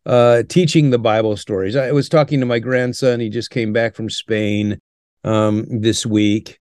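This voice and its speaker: An older man speaking in an earnest, measured way, like someone teaching, and pausing often with "uh". His voice sits at a middle pitch and is recorded dry and close, with no room sound. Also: fairly even intonation, darkish tone, no room sound. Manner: earnest, measured, with frequent "uh" pauses. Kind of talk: interview answers, teaching tone.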